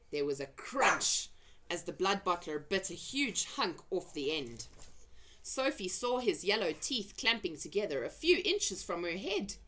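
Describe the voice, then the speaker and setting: A woman narrating a children's adventure story in an expressive read-aloud style. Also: mid-range voice. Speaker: storybook reader.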